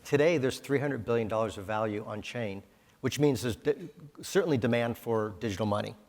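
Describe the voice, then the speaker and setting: A man's voice: medium pitch, a little fast, a little mellow. A man moderating a conference panel, talking conversationally and posing rhetorical questions. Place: conference hall. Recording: hall reverb.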